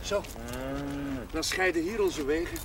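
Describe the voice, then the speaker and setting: Wistful chaplain, higher-pitched, distant. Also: male voice, measured pace, over a noisy crowd. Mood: wistful farewell. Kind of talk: naturalistic television drama acting. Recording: distant mic, noisy outdoor sound.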